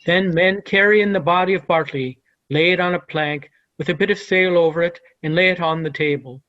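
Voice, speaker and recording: A man's voice: high voice, fairly quick, a bit bright, lo-fi sound. A man giving scripted narration, reading out the stage directions of a play.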